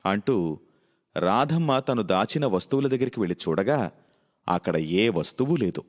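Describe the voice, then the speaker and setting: A man's voice: lowish voice, animated, normal speed, muffled recording. A man narrating a scripted moral story as a storybook narrator.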